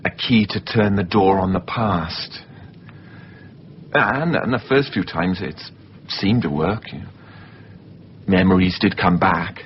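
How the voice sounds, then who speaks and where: An actor playing an obsessive, unstable man in a radio drama, speaking haltingly and intensely. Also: low-pitched. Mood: halting, reflective. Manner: halting, repeating words, intense. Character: obsessive, unstable man who confuses films with his own memories. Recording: dull recording with faint background noise.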